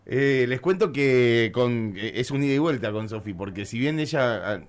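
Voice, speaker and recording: A man's voice: medium pitch, animated, normal speed. A man with an Argentine accent hosting a radio show in a casual, conversational style. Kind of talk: casual radio chat with hesitations. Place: radio studio.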